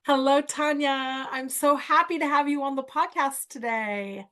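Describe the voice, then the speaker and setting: A woman hosting a podcast interview, effusive and encouraging, with a slow, emphatic delivery. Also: high voice, a bit bright, faint music behind. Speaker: podcast host and mentor.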